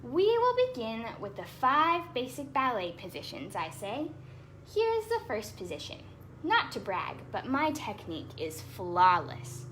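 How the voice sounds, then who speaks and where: A woman's voice: on the high side, wildly swooping pitch, a little slow, a bit bright, slight background noise. A woman hosting a children's storytime, reading aloud expressively with chatty asides and explaining words simply for young listeners.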